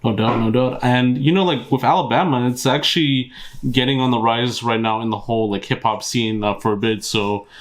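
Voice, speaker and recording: A man's voice: mid-range voice, fairly quick. A man hosting an interview in loose casual talk, saying "like" and "dope" constantly. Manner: loose, heavy use of "like" and "dope". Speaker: interview host.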